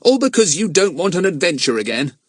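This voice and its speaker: A man's voice: fairly high pitch, huge pitch swings, normal speed, a bit bright, no echo, clean studio sound, pitched above the performer's own voice, heavily acted. Brief: male reader doing a sensible, moody boy, storybook. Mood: earnest. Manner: earnest, a bit exasperated.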